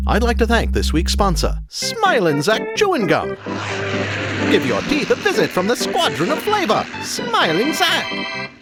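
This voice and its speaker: Melodramatic radio serial announcer, hugely animated. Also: male voice, mid-range voice. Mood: peppy sales pitch. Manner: melodramatic, showy. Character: melodramatic old-time radio serial announcer, grandly suspenseful. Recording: loud music under voice.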